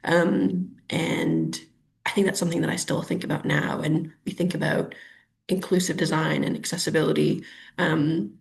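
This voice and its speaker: Low, gravelly woman. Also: flat delivery, moderate pace. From Canada. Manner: chatty, thinks aloud with many ums and restarts. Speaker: urban planner guest. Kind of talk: webinar interview answers.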